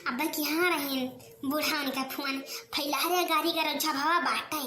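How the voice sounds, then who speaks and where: A woman's voice: high-pitched, steady tone, normal speed, bright, forceful tone, roomy sound, slight background noise. A woman with an Awadhi accent voicing a quarrelsome village mother-in-law in a cartoon, scolding in a rustic, dramatic way. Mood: complaining. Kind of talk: cartoon voice acting.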